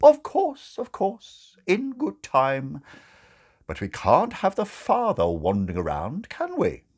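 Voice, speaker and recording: High-voiced, bossy matronly nun. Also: male voice, wildly swooping pitch, takes it slowly, heavily acted. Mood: impatient, patronising. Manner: firm, bustling, condescending.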